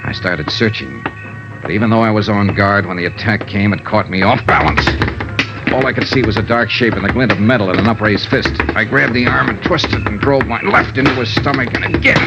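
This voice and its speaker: An actor playing a tough, terse, world-weary private investigator in a 1950s radio drama, with clipped, dramatic, hard-boiled delivery. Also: male voice, lowish voice, brisk, lightly acted. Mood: urgent. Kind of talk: 1950s radio detective acting, first-person narration. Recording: muffled old-time radio recording with music.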